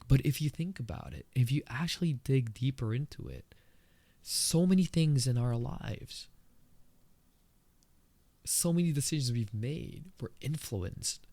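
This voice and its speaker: A man with a Filipino accent hosting his own podcast, talking through a personal topic in an earnest, conversational way and jumping up in pitch for emphasis. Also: creaky, mellow, no room sound. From Philippines. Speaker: podcast host. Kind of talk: solo reflective podcast talk.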